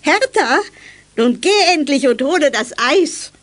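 A woman's voice: sits high, lively intonation, measured pace, fairly bright tone. An actress playing a feisty, teasing old grandmother who is mock-indignant and bossy in a German youth radio play. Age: older.

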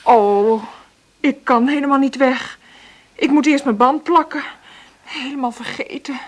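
A flustered mother in a radio play. Her voice is high and husky. She is scatterbrained and eager, hesitating and getting agitated easily. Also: a little slow. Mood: excited, busy. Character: flustered, eager single mother short of money. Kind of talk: naturalistic radio drama acting.

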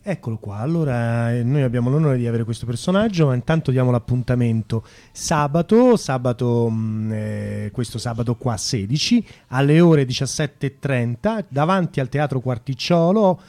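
Hesitant, mid-pitched male radio interviewer. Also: moderate pace, few pauses, warm tone. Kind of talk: semi-improvised satirical interview.

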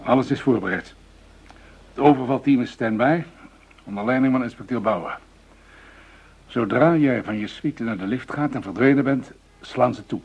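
An actor playing a stern, matter-of-fact police superior with a gravelly, husky voice in a radio drama. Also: male voice, mid-range voice, normal speed, pauses often, a little mellow. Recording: dull radio play recording.

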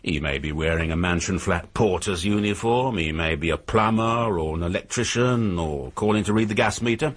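An actor playing a shrewd, methodical British police inspector in a radio drama, speaking in a measured, formal way with a husky voice. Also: male voice, fairly low pitch.

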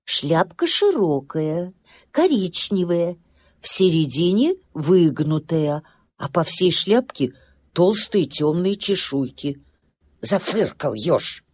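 Female narrator for children's tales: animated intonation, slightly husky, medium pitch, music behind. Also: takes it slowly. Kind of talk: expressive children's storybook narration.

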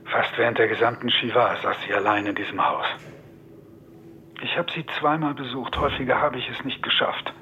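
A man's voice: mid-range voice, steady tone, fairly quick, pushed and bright. A man playing a frank, businesslike estate adviser in a radio drama, matter-of-fact and formal. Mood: frank, concerned. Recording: muffled, band-limited, faint noise.

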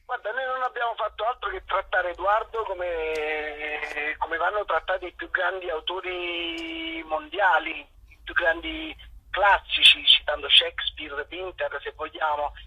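A man from Naples, an actor, talking on the phone in a thoughtful way and often pausing to search for words. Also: sits high, sing-song, pushed and bright, slightly rough. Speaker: actor guest. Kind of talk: phone interview. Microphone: telephone. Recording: muffled phone line.

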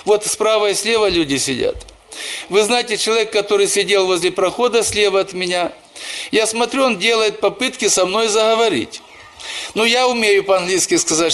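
Мужчина-проповедник с высоким голосом. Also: male voice, sits high, fairly bright tone. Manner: storytelling, addresses listeners as brothers and sisters. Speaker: pastor. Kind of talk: sermon.

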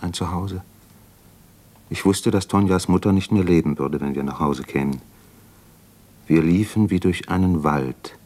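Brooding doctor, low husky voice, breathy, introspective, classic radio drama. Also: male voice, a little mellow. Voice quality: husky, breathy, at times near whisper. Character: young male doctor, brooding, introspective, haunted. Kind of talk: German radio drama acting, inner monologue.

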